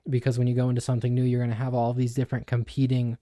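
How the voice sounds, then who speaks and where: A young man co-hosting a podcast in a small home studio, explaining ideas in a measured, even way. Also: medium pitch, dry recording. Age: young adult. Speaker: podcast co-host. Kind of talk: reading a passage then casual discussion. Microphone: studio mic on boom arm.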